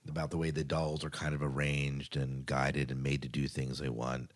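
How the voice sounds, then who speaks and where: A man's voice: a bass voice, little pitch movement, moderate pace. A man co-hosting a podcast in casual chat about TV news, stressing points by repeating his words. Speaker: podcast co-host.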